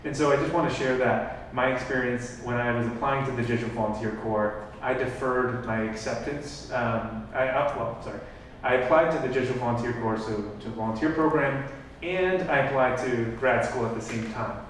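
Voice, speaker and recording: A man's voice: mid-range voice, moderate pace, a little hiss or hum. A man organizing an event, making loose announcements to the audience with many fillers. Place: large room at a live event. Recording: echoey hall, speaking from far off.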